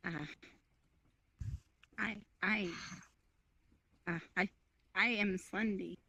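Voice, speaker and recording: Slow, halting woman as shy half-demon. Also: mid-range voice, steady tone, vocal fry, bright, forceful tone, husky, dry recording. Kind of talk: in-character improvised roleplay. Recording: dull lo-fi voice chat mic.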